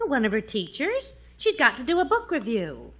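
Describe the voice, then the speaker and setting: A woman's voice: on the high side, huge pitch swings, moderate pace, a bit bright, full character voice. A woman playing a sensible, exasperated middle-aged American wife and mother in a 1950 radio sitcom. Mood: reasoning. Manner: exasperated, reasoning. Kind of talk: 1950s radio sitcom acting. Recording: vintage 1950 radio broadcast recording.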